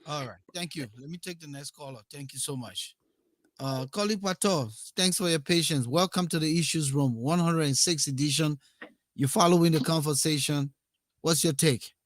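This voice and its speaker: A man hosting a call-in radio show, taking callers in a relaxed, conversational way. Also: on the high side, moderate pace, dry recording. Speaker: radio talk show host.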